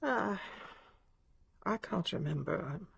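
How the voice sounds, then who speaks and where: A sick older English lady speaks from her bed in a radio drama. Her voice is frail, very husky and gravelly, slow and halting, and it swings between querulous command and wandering confusion. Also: mid-range voice, lively intonation, fairly bright tone, exaggerated voice. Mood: querulous, scornful. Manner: halting, querulous, imperious upper-class speech. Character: ailing bedridden older lady, sharp-tongued and wandering.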